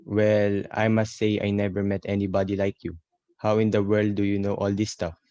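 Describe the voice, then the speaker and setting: Man acting as teasing older brother, radio play, low mellow voice, dull dry recording. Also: level tone, moderate pace, no echo, close to an everyday voice. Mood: amazed. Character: Tim, skeptical teasing older brother, tinkers with engines. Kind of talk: amateur English-class radio play.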